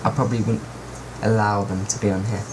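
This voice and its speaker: Rambling, jokey young host. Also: male voice, on the low side, flat delivery, fast talker, noisy background. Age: teens. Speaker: podcast host. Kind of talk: casual chat.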